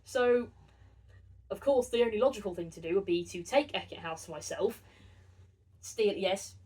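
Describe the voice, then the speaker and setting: A woman's voice: medium pitch, lively intonation, moderate pace, a little mellow, breathy edge. A young woman co-hosting a casual retrospective chat in a wry, self-deprecating way. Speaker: co-host, web series creator. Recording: distant from the mic.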